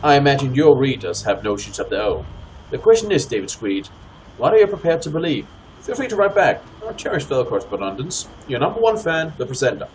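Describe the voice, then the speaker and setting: Guy doing casual let's play and reading game text, lively pitch, bit of game ambience behind. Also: brisk, low-quality recording. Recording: clear background noise, game ambience.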